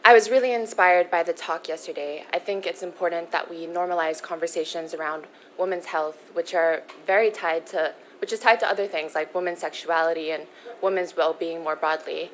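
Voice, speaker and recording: A young woman raised in the Philippines answers pageant interview questions with poise. Her voice sits fairly low and she speaks in a measured, earnest way. A faint hiss runs under the recording. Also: poor audio. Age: young adult. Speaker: pageant contestant.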